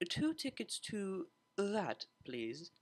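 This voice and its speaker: Man playing a refined, lightly high-voiced young mare. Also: wildly swooping pitch, full character voice. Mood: polite. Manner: proper, measured, dry. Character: refined, polite young cellist mare, gently wry. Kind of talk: fan fiction audiobook character voice.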